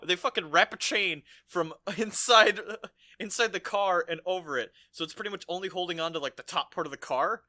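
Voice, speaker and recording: A man with a slightly high, piercingly bright voice co-hosts a casual podcast. He rattles through a movie recap quickly, in blunt slang peppered with profanity. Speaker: podcast co-host.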